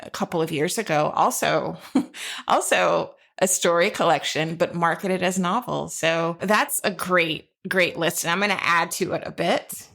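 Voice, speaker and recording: A woman's voice: on the low side, measured pace, gravelly, a bit bright. A woman co-hosting a literary podcast, chatting casually and with enthusiasm and appreciation. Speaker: podcast co-host and author.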